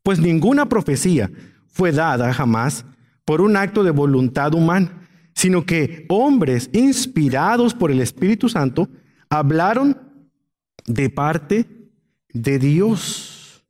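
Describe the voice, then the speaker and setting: A man's voice: on the high side, big pitch swings, slow, darkish tone. A man preaching a Bible-teaching sermon as a pastor, emphatic and illustrating his points with everyday examples. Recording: long hall reverb.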